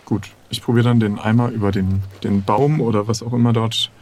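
A man's voice: lowish voice, fairly even intonation, fairly quick, noisy background. A man leading fellow players through an improvised adventure game, hesitating and thinking aloud as he plans. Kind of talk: improvised tabletop roleplay talk.

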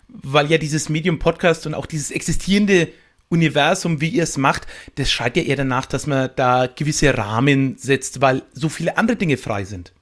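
Guy on a podcast, talkative, slightly high voice, explaining stuff casually. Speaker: podcast guest, improv performer.

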